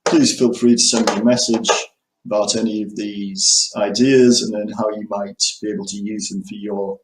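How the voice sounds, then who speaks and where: A man from the UK introducing himself as an English teacher and walking through his lesson materials, measured, with frequent ums and restarts. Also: on the low side, little pitch movement, echoey room, slight background noise, low-quality recording. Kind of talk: self-introduction and screen walkthrough.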